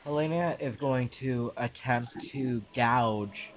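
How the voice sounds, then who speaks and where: Slow-talking man at a tabletop game. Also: mid-range voice. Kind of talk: describing a character's moves.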